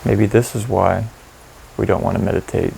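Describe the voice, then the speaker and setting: A man leading a guided meditation in an informal, candid and gently instructive way. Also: lowish voice, fairly even intonation, moderate pace, vocal fry, slight background noise, rough recording.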